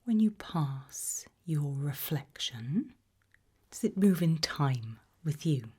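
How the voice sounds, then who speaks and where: Creepy british lady researcher, played by an asmr woman, whispery and mellow, super slow, softly menacing questions. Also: low voice, expressive, exaggerated voice. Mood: probing, eerie. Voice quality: breathy whisper. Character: eerie, probing female institute researcher of human behaviour.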